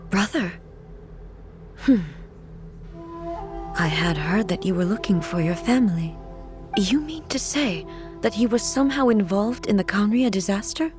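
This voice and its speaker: A woman voicing a solemn, composed female ruler of divine rank in a video game, speaking in a formal, measured, soft and hushed way. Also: mid-range voice, animated, over music, lightly acted. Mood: regretful.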